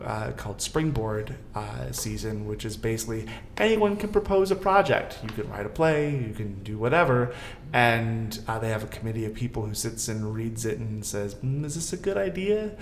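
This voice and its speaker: A man, probably middle-aged, chatting casually on a panel and thinking aloud in a discursive way. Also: medium pitch. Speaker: guest co-host on a theater podcast. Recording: roomy echo, faint background noise.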